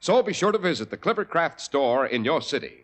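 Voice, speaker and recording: A man reading a sponsor ad as announcer on a 1940s radio show, with a smooth, persuasive delivery. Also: a little high, sing-song, brisk, fairly bright tone.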